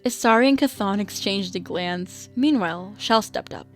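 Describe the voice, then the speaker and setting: A woman's voice: mid-range voice, moderate pace. A woman reading scripted story narration for a radio drama. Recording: music behind the voice.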